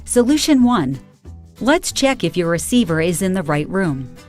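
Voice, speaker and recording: A woman's voice: fairly low pitch, big pitch swings, normal speed, darkish tone, faint music behind. A woman narrating a scripted troubleshooting tutorial. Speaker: instructional video narrator.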